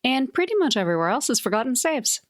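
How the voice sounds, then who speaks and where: A woman's voice: on the high side, very animated, quick pace. A woman playing a tabletop game, reasoning aloud in an inquisitive, analytical way. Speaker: player. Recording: noisy hiss.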